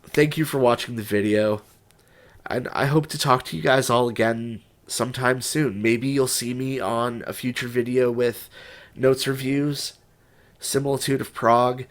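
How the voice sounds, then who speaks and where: A man's voice: medium pitch, steady tone, measured pace. A young man with a stuffed-up, congested voice, talking through an unscripted vlog in a rambling, hesitant way full of restarts and fillers. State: stuffed up, recovering from a cold. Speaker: music writer and YouTuber.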